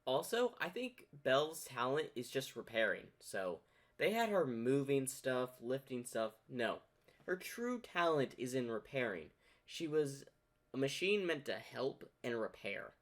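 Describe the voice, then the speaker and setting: A man's voice: medium pitch, big pitch swings, a little slow. A man hosting a reaction video, talking casually and speculatively, stretching words for emphasis.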